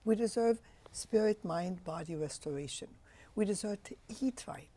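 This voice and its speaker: A woman's voice: fairly low pitch, animated, normal speed, warm tone. A woman in her sixties from Egypt, a physician answering interview questions with passionate, emphatic phrasing. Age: 60s. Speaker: physician guest.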